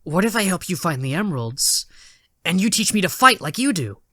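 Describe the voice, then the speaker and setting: A youthful hedgehog hero put on by a man, pitched up into a light register and recorded dry and close. He is earnest, eager and a little awkward, in fan audio story style. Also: sing-song, fairly quick, bright, forceful tone, no echo, full character voice. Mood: earnest, hopeful.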